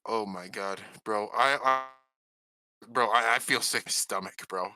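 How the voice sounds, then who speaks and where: A man's voice: fairly low pitch, measured pace. A man coaching on a stream dating show, talking brashly and dropping bro constantly. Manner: brash, keeps saying bro. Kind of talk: casual stream chat.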